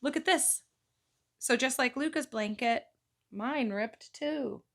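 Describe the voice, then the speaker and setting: A woman reading a picture book aloud to children in an expressive way, pausing to ask them simple questions. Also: high-pitched. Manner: expressive, child-directed delivery. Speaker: children's storytime reader, mother.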